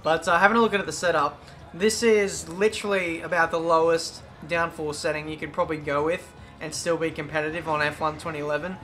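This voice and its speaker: A man with a British accent talking over his racing game in a chatty, excitable play-by-play, his voice a little croaky. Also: fairly high pitch, brisk, faint music behind. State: slightly croaky. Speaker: gaming YouTuber.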